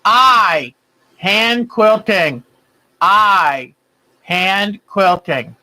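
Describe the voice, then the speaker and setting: A woman, hosting a quilting bingo game and calling each clue twice, slowly and deliberately. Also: mid-range voice, lively intonation, bright, forceful tone. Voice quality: big voice.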